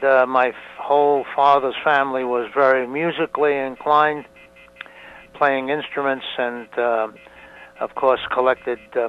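A man in his nineties whose first language is Italian, a museum founder answering interview questions slowly, with many fillers and restarts. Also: medium pitch. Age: 90s. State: hard of hearing. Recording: dull lo-fi recording.

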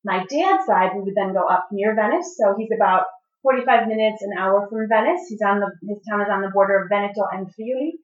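A woman's voice: mid-range voice, expressive, fairly quick. A middle-aged woman from the Chicago area, bilingual in English and Italian, speaking as an interview guest in an earnest way with frequent fillers and restarts. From Chicago area, United States. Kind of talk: casual interview conversation. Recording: dull, roomy sound from a distance.

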